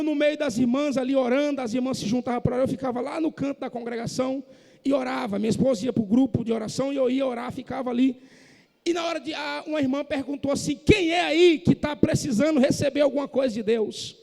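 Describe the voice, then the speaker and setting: A man preaching a sermon in a church, impassioned and rising to shouts, from northeastern Brazil. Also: very high-pitched, fast talker, vocal fry. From Northeast Brazil, Maranhão. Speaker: pastor. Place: church hall. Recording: echoey church hall.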